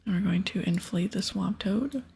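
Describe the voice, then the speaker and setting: A woman hosting an ASMR game playthrough in a breathy whisper, narrating and reading dialogue aloud close to the microphone. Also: mid-range voice, moderate pace, warm tone. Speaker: ASMR gaming host.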